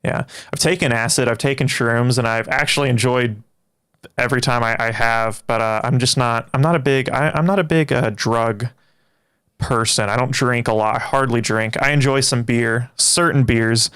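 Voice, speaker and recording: A young man, a native Greek speaker, chatting with his livestream audience in a rambling, halting way with many restarts. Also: medium pitch, steady tone, fairly bright tone, smooth. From Greece. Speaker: livestream host. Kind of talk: casual livestream chat.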